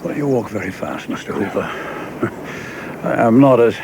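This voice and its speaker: Noisy radio drama scene with a man playing an older government secretary. His voice is lowish and very varied in pitch, and he speaks with halting, wry courtesy. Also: animated. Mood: wry, polite. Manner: halting, drily polite. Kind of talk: radio play acting. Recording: clearly noisy background ambience.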